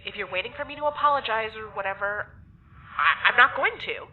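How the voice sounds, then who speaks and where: A woman's voice: fairly high pitch, expressive, brisk, close to an everyday voice. An actress playing a sarcastic, abrasive and unrepentant woman in an audio drama, delivered dryly and bluntly. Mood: stubborn. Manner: dry, sardonic, blunt. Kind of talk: naturalistic audio drama acting. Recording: muffled lo-fi sound with clear noise.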